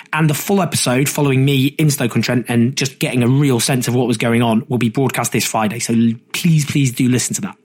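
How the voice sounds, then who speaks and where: A male political journalist talks through the campaign in a loose, conversational way, dropping wry, self-mocking asides. His pitch sits in an ordinary middle range. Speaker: political journalist and podcast host. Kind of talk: casual political commentary.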